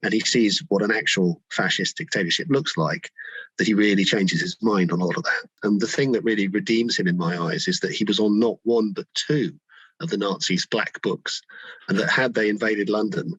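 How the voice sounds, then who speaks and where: A man's voice: on the low side, moderate pace, a bit bright, slightly rough, dry recording, poor audio. A man talking conversationally as an expert guest in an interview.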